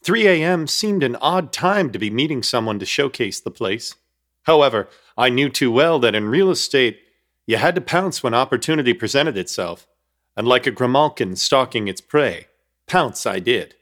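A man's voice: medium pitch, animated, moderate pace, fairly bright tone. A man narrating a comic horror radio play in an ornate, mock-grave, wry storytelling manner.

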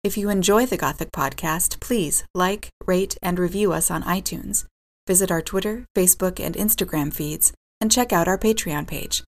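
A woman's voice: on the low side, normal speed. A woman announcer reading scripted credits for a podcast.

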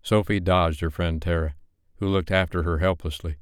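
Deep-voiced male audiobook narrator. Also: clear, resonant tone, no room sound, studio quality. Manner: steady, measured reading.

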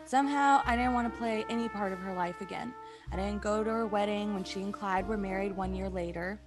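A woman's voice: medium pitch, a little fast, over music, natural voice. An actress playing an exasperated, blunt young woman in a comedic radio drama, sardonic and bossy. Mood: grave, regretful. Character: exasperated, blunt, sarcastic young female companion.

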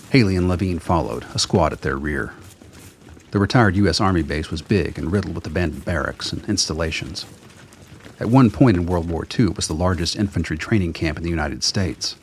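A man's voice: low voice, brisk, a little hiss or hum. A man reading scripted narration for a radio drama.

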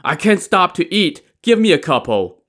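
A man's voice: medium pitch, expressive, normal speed, bright, forceful tone, voice pushed up. A man voicing a frantic, gullible male traveler in a story, whining and pleading in exclamations. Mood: desperate.

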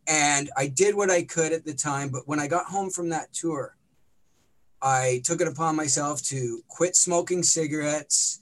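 A middle-aged man, a rock vocalist with a smoky, slightly raspy voice, chatting casually and rambling with lots of fillers. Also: a little high, measured pace, poor audio. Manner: relaxed, rambling storyteller with many fillers. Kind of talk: casual interview chat.

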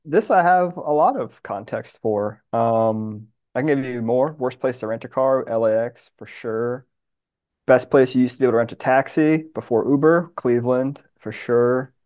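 A man's voice: mid-range voice, moderate pace, darkish tone. A man chatting casually as a guest on a podcast. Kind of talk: casual chat.

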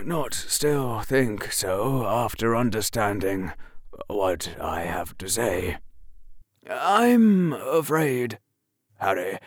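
A man voicing a rambling, apologetic old male wizard headmaster, halting and hesitant, full of fillers. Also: mid-range voice, no room sound, clean studio sound, voice pushed up.

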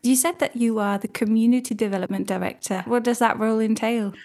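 A woman's voice: fairly high pitch, steady tone, fast talker, darkish tone. A woman hosting a podcast, introducing the episode and politely asking a guest questions. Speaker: podcast host. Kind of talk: podcast interview.

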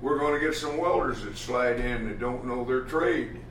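A man preaching a sermon as pastor, plainspoken and folksy, often pausing with "uh" as he talks. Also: medium pitch, a bit bright, a little hiss or hum. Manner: plainspoken, folksy, halting with many uh fillers. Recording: echoey room from far off, faint ambience.

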